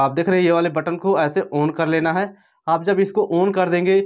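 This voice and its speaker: A man narrating an informal step-by-step tutorial in repetitive, instructional phrasing. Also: on the high side. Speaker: phone tutorial presenter. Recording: dull, lo-fi voice-over.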